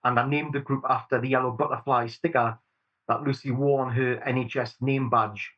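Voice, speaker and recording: A man's voice: medium pitch, fairly even intonation, normal speed, dull audio. A man in his forties with a Geordie accent, speaking sincerely and steadily as a guest in an interview. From Newcastle upon Tyne, England. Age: 40s. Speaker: campaign group chair, guest. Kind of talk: earnest interview answers.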